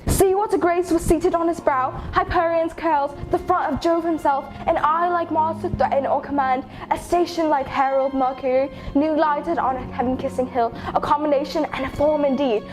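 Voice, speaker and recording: A woman's voice: sits high, moderate pace, few pauses, over music, close to an everyday voice, poor audio. A woman performing an anguished, accusing young prince in a declamatory, impassioned amateur Shakespeare reading. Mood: accusing, outraged.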